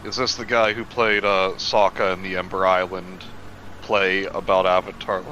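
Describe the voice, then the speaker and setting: A man in casual joking chat, animated and quick to jump in with questions. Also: low-pitched, lo-fi sound, a little hiss or hum.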